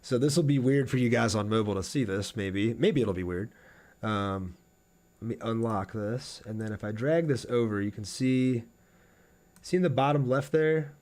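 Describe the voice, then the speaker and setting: A man's voice: mid-range voice, normal speed, darkish tone. A man streaming a video game, chatting casually and conversationally with his viewers as he plays. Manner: conversational, reads viewer comments aloud and answers them. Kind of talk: casual livestream chat while playing a game.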